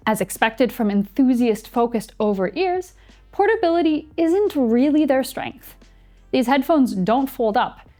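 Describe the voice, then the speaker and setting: A young adult woman narrating a scripted product review as its presenter, clearly enunciated with dry, playful touches. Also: high voice, darkish tone. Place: small indoor studio set. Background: music.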